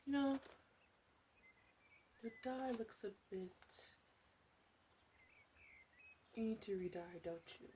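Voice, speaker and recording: Woman playing a slow, softly teasing witch. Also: fairly high pitch, lively intonation, slight background noise. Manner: hesitant, halting, softly teasing, often dropping into whispers. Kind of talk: ASMR roleplay.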